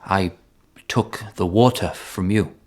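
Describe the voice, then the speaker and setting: Halting, wary captive crusader knight. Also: male voice, low-pitched. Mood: guarded. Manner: halting, guarded, terse. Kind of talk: historical radio drama acting.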